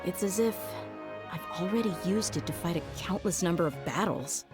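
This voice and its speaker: A woman's voice: on the low side, lively intonation, normal speed, over loud music. A woman playing a frank, determined young female mercenary warrior in a video game, speaking emphatically. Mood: reflective. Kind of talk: video game character acting.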